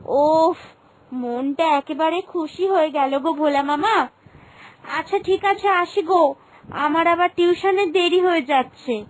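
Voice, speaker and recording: Woman voicing a very high-pitched, chatty village girl. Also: unhurried, rough recording. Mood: gushing. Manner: gushing, chatty, familiar. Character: chatty young village girl student, eager, gushing. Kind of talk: Bengali cartoon voice acting.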